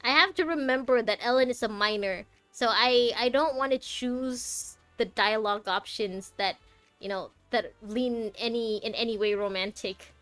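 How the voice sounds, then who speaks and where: A woman's voice: a little high, moderate pace, a bit bright, no room sound, faint music behind. A young woman from Malaysia chatting casually as she plays through a game, rambling and thinking aloud. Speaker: game streamer. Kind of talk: casual commentary while playing a game.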